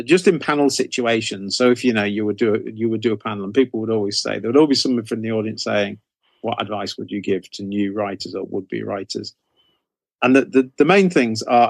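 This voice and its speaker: A man's voice: mid-range voice, no echo. A middle-aged man with an ordinary mid-range voice talks through his answers as an interview guest. He circles back and rephrases as he goes, in a conversational way. The sound is dry, with very little room on it. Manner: rambling, repeats and rephrases phrases. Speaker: guest, horror author.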